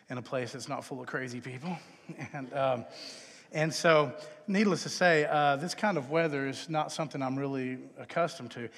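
A man's voice: mid-range voice, fairly bright tone. Texan man speaking in an echoey hall. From Texas, United States. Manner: casual, self-deprecating, conversational. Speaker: guest speaker at a chapel, filmmaker. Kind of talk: informal chapel talk, personal testimony. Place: large indoor chapel, live talk. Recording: echoey hall with long reverb.

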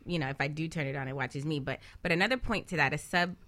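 Low-pitched, bright woman panelist. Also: quick pace. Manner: agreeable, often echoes the others. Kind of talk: casual panel discussion.

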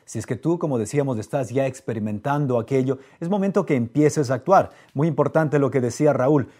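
A man's voice: medium pitch, expressive, brisk, mellow. A man hosting a live motivational podcast, speaking earnestly and directly to the viewers. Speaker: podcast host.

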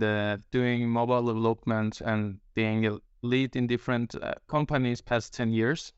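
A man's voice: mid-range voice, smooth, no echo, muffled recording. A man from Iran speaking as a podcast guest, hesitant with many fillers. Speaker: guest, tech lead. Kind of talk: casual panel talk.

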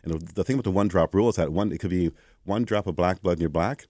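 Low-voiced man telling stories animatedly. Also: quick pace, dry recording, clean studio sound. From Canada. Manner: animated, acts out quoted exchanges. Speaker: guest. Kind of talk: conversational interview storytelling.

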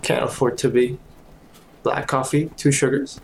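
Audio drama role: laid-back, supportive male colleague male actor, medium pitch, understated and lightly playful, slight background noise. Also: steady tone, a little slow, vocal fry. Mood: tired, grateful. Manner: casual, reassuring, understated. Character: easygoing, reassuring male colleague, gently playful. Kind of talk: audio drama acting, naturalistic dialogue.

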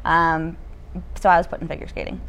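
Chatty Canadian-born woman, lower voice. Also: flat delivery, brisk, creaky, background noise. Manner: chatty, laughs easily, lots of fillers. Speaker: guest. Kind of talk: casual interview. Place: ice rink.

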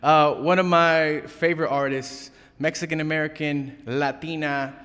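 Higher-voiced male host, halting, very reverberant. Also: fairly even intonation, a bit bright, clean tone. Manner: informal, halting, repeats words as he goes. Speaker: interview host. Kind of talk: casual chat interview. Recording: long hall-like reverb.

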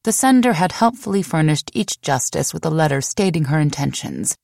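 Low-voiced woman narrating an audiobook. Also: expressive, fairly quick, creaky, darkish tone, dry recording, clean studio sound. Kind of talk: scripted memoir narration.

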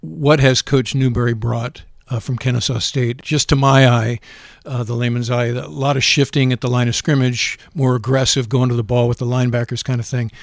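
A man's voice: medium pitch, flat delivery, normal speed, vocal fry, hardly any pauses, no room sound, studio quality. A middle-aged man hosting a podcast, asking questions in a chatty, friendly way. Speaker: podcast host. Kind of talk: podcast interview.

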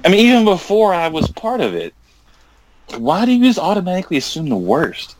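A man's voice: high-pitched, wildly swooping pitch, brisk, a little mellow, a little hiss or hum, poor audio. A man chatting casually as a podcast panelist, emphatic and often repeating himself. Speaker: podcast panelist and hobby gamer. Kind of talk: casual chat.

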